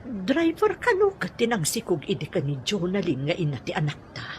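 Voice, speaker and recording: A woman's voice: fairly low pitch, wildly swooping pitch, brisk, darkish tone, soft background music. A woman with a Filipino accent playing a watchful, plotting confidant in a radio drama. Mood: insistent.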